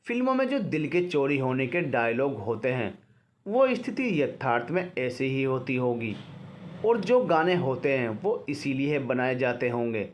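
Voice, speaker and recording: A man's voice: mid-range voice, sing-song, a little slow, slight background noise. A man narrating a scripted first-person romantic story.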